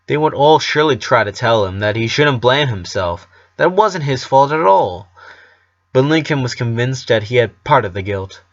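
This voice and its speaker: A man reads a story aloud as narrator in a medium-pitched voice. He is expressive and sometimes stumbles and corrects himself. The recording is slightly dull and lo-fi. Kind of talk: reading fan fiction aloud.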